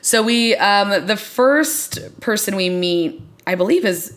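A woman's voice: mid-range voice, animated, takes it slowly, a bit bright. A woman hosting a podcast, chatting casually about television in an enthusiastic, emphatic way. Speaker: podcast host. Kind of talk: casual TV discussion chat.

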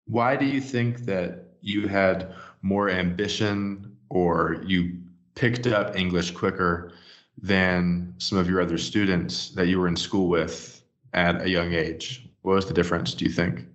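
A man's voice: deep voice, fairly even intonation, unhurried, fairly bright tone. A man asking questions as an interviewer in a conversational style. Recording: dull, lo-fi audio.

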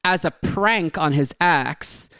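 Bright forceful voice, mid pitch, dry close sound, emphatic, wordy, analytical podcast guest. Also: slow, smooth, dry recording. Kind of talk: animated opinionated pop-culture discussion.

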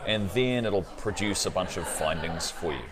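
A man's voice: low voice, moderate pace. A man at a conference explaining technology to an interviewer, often ending with "right?". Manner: explanatory, tags sentences with "right?". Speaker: cloud company partner guest. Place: busy conference floor. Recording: crowd noise behind. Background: crowd chatter.

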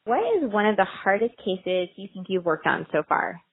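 A woman's voice: mid-range voice, normal speed, gravelly, breathy edge, dry recording. A woman interviewer asking questions and encouraging her guest with frequent mm-hmms. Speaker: podcast interviewer. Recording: dry tinny phone-like line.

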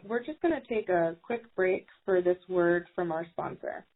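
Woman podcast host, kinda breathy, upbeat, sounds like a phone call. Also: fairly low pitch, expressive, normal speed. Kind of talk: podcast hosting and sponsor read. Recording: tinny phone-like line.

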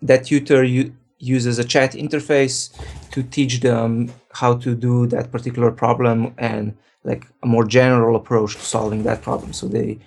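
A man from Slovenia, a programmer, answering interview questions in a relaxed way, thinking aloud and correcting himself. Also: medium pitch, moderate pace, vocal fry. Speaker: programmer and guest.

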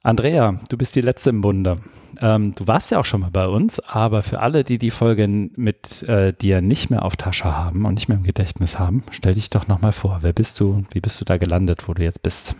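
A man's voice: lowish voice, normal speed, a little mellow, husky, dry recording, studio quality. A man hosting an interview podcast, welcoming guests and guiding the introductions in a friendly, structured way. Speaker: podcast host.

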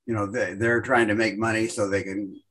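A man leads a casual meditation group discussion. His voice is slightly husky, and he asks friendly questions, often restarting his sentences. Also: lowish voice, little pitch movement, a little fast, fairly bright tone.

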